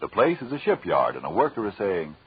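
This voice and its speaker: A man announcing on 1940s radio, delivering story links and sales pitches with dramatic emphasis. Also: huge pitch swings, fairly quick, slightly rough. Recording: dull, lo-fi old radio recording.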